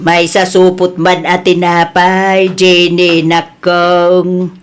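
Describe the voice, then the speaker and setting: A woman playing an evasive, middle-aged village debtor in an Ilocano radio-style comedy drama, with drawn-out, pleading, exasperated speech. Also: lowish voice, monotone, faint music behind. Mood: complaining. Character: evasive indebted village woman, addressed as Nana.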